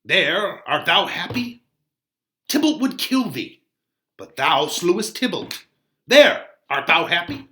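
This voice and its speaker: A man's voice: high-pitched, very animated, slow pace, sharp tone, pitched above the performer's own voice, heavily acted. A man voicing an exasperated older friar who scolds and counsels in declamatory Shakespearean verse. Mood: exasperated, scolding. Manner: emphatic, declamatory, archaic verse. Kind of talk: dramatic reading of a Shakespeare play.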